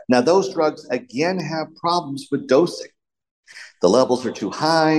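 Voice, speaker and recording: A man answering interview questions, explaining drug chemistry in a measured, methodical way. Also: mid-range voice, darkish tone, rough recording. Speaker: pharmaceutical company executive.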